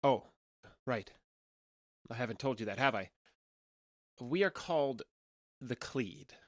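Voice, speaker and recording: A man's voice: medium pitch, expressive, takes it slowly, a bit bright, no room sound, clean studio sound. A man playing a young alien trader who passes as human in an audio drama, talking in a conversational, earnest and slightly hesitant way. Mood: matter-of-fact. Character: awkward, candid young male alien trader passing as human.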